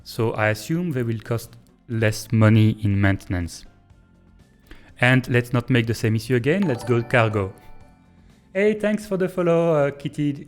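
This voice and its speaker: French-accented man thinking aloud. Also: on the high side. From Belgium. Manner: thinks aloud, hesitant with many pauses and fillers. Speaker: game streamer. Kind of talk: casual live-stream gameplay commentary.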